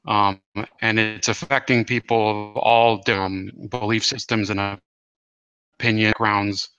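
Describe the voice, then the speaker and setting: A man hosting an interview podcast, talking in a halting way with many fillers and restarts. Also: on the low side, little pitch movement, bright, forceful tone, smooth, highs cut off. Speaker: podcast host. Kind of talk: casual interview.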